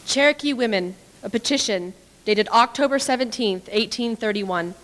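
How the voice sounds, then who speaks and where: A young woman giving an earnest, measured reading of a historical petition at a podium microphone. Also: on the high side, fairly bright tone. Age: young adult. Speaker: dramatic reader. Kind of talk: formal read-aloud of historical petition. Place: indoor hall with brick walls, small audience. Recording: reverberant hall.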